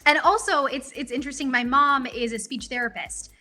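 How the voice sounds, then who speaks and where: Bright, bubbly young woman chatting. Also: high voice, fairly quick, soft background music. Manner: chatty, many ums, bubbly. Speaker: voice actor guest. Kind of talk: casual interview chat.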